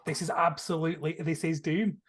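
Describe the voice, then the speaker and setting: A man's voice: a little high, level tone, fairly quick, husky. A man co-hosting a podcast in casual film chat, acting out imagined remarks as he explains. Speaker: podcast co-host.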